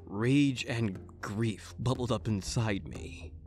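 An actor playing a brooding, earnest and wry private detective, narrating a noir audio drama. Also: male voice, fairly low pitch, slow pace, darkish tone, soft background music.

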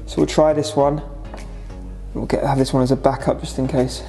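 A man's voice: medium pitch, little pitch movement, moderate pace, creaky, dark tone, soft background music. A young man from England talking casually and matter-of-factly through a restaurant renovation, speaking to a camera in a large indoor space. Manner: casual, matter-of-fact, lots of "so" and "obviously". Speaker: restaurant owner. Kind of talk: vlog update on a restaurant renovation.

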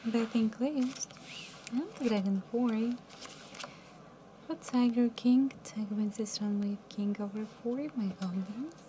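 Young woman casino dealer, soft mumbly voice, slow polite calls, faint room hum. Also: a little high, fairly even intonation, rough recording. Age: young adult. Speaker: live casino card dealer. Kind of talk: announcing card game rounds. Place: casino studio table set.

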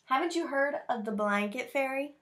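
A woman's voice: high voice, animated, normal speed, fairly bright tone, roomy sound, voice pushed up. Woman doing a nosy, disapproving mouse lady. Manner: pointed, disapproving questions. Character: nosy, meddling adult female mouse neighbor.

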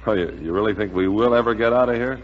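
A man's voice: on the low side, fast talker, close to an everyday voice. An actor in a 1940s radio drama playing a sceptical, practical, plain-spoken airman. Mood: doubtful. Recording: dull, noisy, echoing 1940s radio recording.

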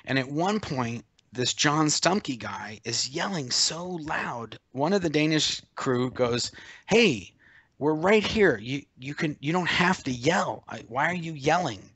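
A man in his forties on a video call, casually telling a story in an animated way and acting out what others said. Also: medium pitch, no echo. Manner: animated, acts out quoted voices, laughs as he tells it. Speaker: interview guest.

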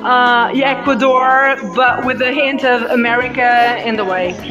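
A woman's voice: fairly high pitch, steady tone, normal speed, lo-fi sound, over music. A woman with a Portuguese accent, Portuguese as her first language, hosting a live podcast chat with many hesitant fillers. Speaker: podcast host.

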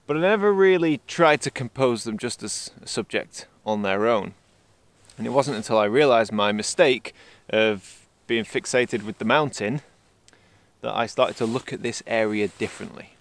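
A man's voice: mid-range voice, no room sound. A man, a landscape photographer casually narrating his vlog outdoors, thinking aloud. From England. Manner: reflective, thinking aloud with fillers. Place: outdoors in winter Scottish highlands.

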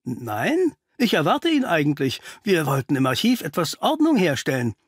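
A man voicing a rattled, agitated village doctor who talks haltingly in a storybook reading. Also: on the high side, huge pitch swings, husky, no room sound, studio quality, heavily acted. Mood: uneasy.

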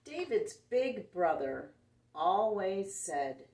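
Expressive middle-aged woman reading slowly to children. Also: mid-range voice, gravelly. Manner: expressive, simple phrasing with exclamations and asides to children. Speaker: children's storytime host. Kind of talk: picture-book read-aloud to young children. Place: indoor room, seated before a dark backdrop. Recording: roomy, distant camera microphone.

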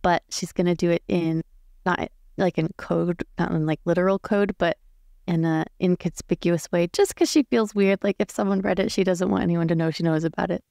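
A woman's voice: on the low side, fairly even intonation, a little fast, vocal fry, mellow, no echo, studio quality. A woman playing a tabletop roleplay game, casually narrating her character's choices and thinking aloud.

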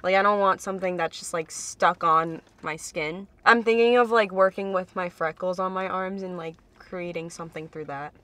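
A woman's voice: on the low side, fairly even intonation, normal speed, hardly any pauses, a bit bright, dry recording. A woman in her twenties chatting casually in a playful, offhand way as a guest in a car. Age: 20s. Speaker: guest on friend's vlog. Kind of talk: casual chat. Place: inside a parked car.

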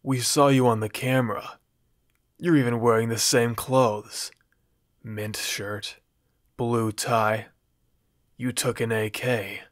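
A man's voice: mid-range voice, level tone, slow, pauses often, no echo, clean studio sound, lightly acted. A man voicing a menacing gang boss who taunts with a sneer. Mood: taunting.

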